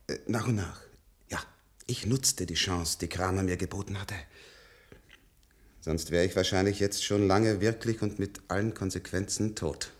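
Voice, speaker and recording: An actor in a German radio play, playing a weary, very old scientist who talks hesitantly and reflectively in a husky, gravelly voice. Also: male voice, low voice, fairly even intonation, normal speed, lightly acted. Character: weary, very old scientist with a longevity-treated middle-aged body.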